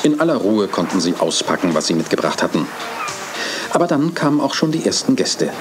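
A man narrates a children's radio play in a calm, storytelling manner. His voice is very low but moves with lively intonation. Music plays underneath. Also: brisk.

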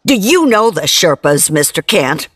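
Audio drama: indignant, eccentric elderly spinster, a bit tipsy, animated delivery, very dry recording. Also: female voice, sits low, a little slow, slightly rough, dry recording, full character voice. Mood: haughty, rambling. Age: older.

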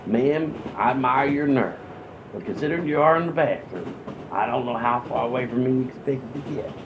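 Male train conductor acted by a man, deadpan and courteous, radio comedy, mid-pitched, dull recording. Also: a little fast, noisy background, natural voice. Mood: deadpan, polite. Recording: muffled, dull podcast recording.

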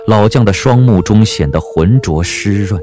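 A man narrating an audio drama in an expressive storytelling manner. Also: lowish voice, normal speed, over music.

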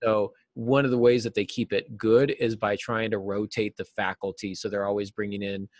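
A middle-aged man with a lowish voice talks in a conversational interview. He pauses mid-thought and picks his words as he goes, and the sound is dry with little room in it. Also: level tone, no room sound. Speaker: guest, novelist and podcast host. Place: small cluttered office, indoors.

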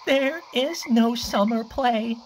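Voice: mid-range voice, very animated, unhurried, a little hiss or hum, pitched above the performer's own voice, low-quality recording. A storyteller voicing a timid little male crocodile who loves dresses, speaking in a pleading, anxious way. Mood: tearful. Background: soft music.

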